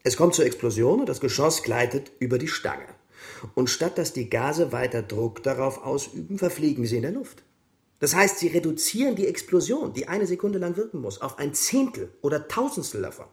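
An actor playing a brisk, condescending army captain who speaks with didactic authority in a radio drama. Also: male voice, fairly high pitch, very animated, darkish tone. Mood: stern lecturing.

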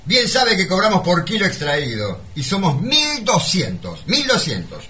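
An actor playing an earnest, indignant workers' delegate with declamatory, pleading delivery in a comic radio play. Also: male voice, sits high, huge pitch swings, unhurried, pushed and bright, slight background noise, full character voice. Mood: exasperated. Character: impassioned, earnest male workers' delegate, indignant champion of miners.

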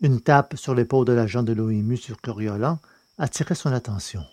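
Male audiobook narrator, mid-pitched, measured and steady, podcast novel reading. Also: no room sound. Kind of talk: scripted narration of a science-fiction novel.

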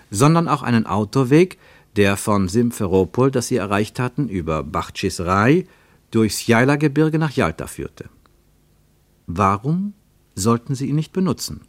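A man's voice: on the low side, dry recording. A man narrating a literary travel tale for a radio drama.

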